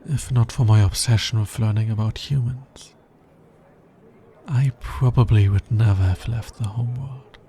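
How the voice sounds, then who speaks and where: A man playing an anxious, logical alien scientist in a soft, intimate ASMR roleplay, speaking in a hesitant, formal way. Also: fairly low pitch, steady tone, normal speed, a little hiss or hum, lightly acted. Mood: calmer, fond.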